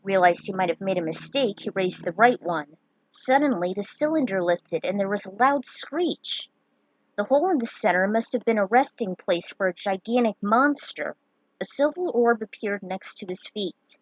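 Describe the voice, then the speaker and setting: A woman's voice: medium pitch, lively intonation, moderate pace, no echo, low-quality recording. A woman reading fantasy fan fiction aloud as its narrator. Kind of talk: story narration read aloud.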